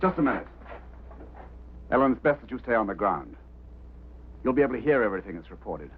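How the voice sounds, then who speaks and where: A man's voice: fairly low pitch, very animated, quick pace, husky. An actor playing a stern, embittered man in charge of a search, firm and authoritative, in a mid-century film drama. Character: stern, embittered male boss of a search operation. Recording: muffled, band-limited old film soundtrack, faint hum.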